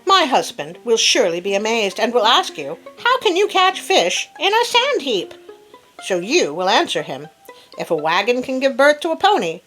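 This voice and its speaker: A woman voicing a clever, devoted nobleman's wife in a storybook, composed and measured. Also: on the high side, sing-song, fairly bright tone, faint music behind, low-quality recording. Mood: shrewd.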